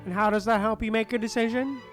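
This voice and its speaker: A woman's voice: a little high, fairly even intonation, quick pace. Woman streamer doing casual gameplay commentary, reads the lines out and reacts, faint music behind her. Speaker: streamer playing and reading a game. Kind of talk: casual gameplay commentary, reading dialogue aloud. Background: game background music.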